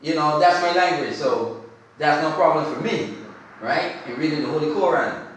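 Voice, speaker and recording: A man's voice: a little high, normal speed. A man hosting a talk show in a conversational style, emphatic and given to repeating his phrases. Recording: very echoey room, far from mic.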